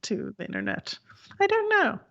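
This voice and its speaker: A woman from the Texas Panhandle co-hosting a casual chat, blunt and quick with asides. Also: very high-pitched, sing-song, fairly bright tone, muffled recording. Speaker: podcast co-host.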